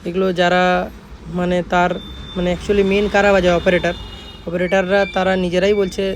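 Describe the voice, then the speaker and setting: A man's voice: high voice, flat delivery, fairly quick, a little hiss or hum. A man with a West Bengal accent giving casual vlog commentary as a workshop owner, repeating his points and often checking for agreement. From Jhargram, West Bengal, India. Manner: repeats points, often adds tag phrases seeking agreement. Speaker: speaker workshop owner presenting a video.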